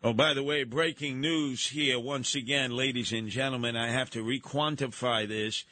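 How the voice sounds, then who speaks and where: A man's voice: mid-range voice, steady tone, moderate pace, pushed and bright, slight background noise. A man with a New York accent hosting live call-in talk radio, talking in an emphatic, theatrical, rambling way. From New York City. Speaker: radio talk show host.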